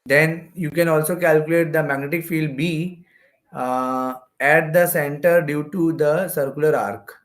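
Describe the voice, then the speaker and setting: A man's voice: fairly high pitch, fairly even intonation, unhurried. A man with an Indian accent giving an explanatory exam-prep lecture as a physics teacher, going step by step and repeating phrases.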